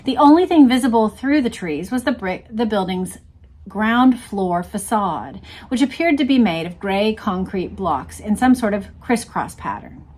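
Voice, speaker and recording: A woman's voice: mid-range voice, lively intonation, a little slow, a little mellow. A woman reading a book aloud and breaking off to explain and point things out to the viewer. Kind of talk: read-aloud narration with explanatory commentary.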